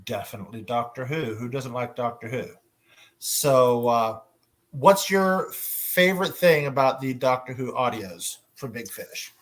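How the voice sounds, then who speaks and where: A man moderating a casual panel discussion, often pausing to search for his words. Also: mid-range voice.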